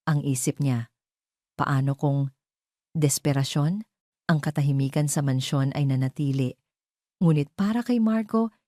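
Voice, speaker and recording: A woman with a Filipino accent narrates a dramatic audio story. Her voice is low for a woman and dark in tone, with measured delivery. The recording is very dry and close. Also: no echo.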